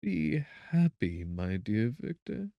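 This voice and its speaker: A tender young bride from a gothic audiobook, acted out by a man, her voice lifted well up in pitch. She speaks softly and earnestly in old-fashioned phrases, gently reassuring, with a close, dry sound. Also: sing-song, slow pace, vocal fry, dry recording, lo-fi sound, exaggerated voice. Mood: tender, hopeful. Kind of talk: dramatic audiobook character voice.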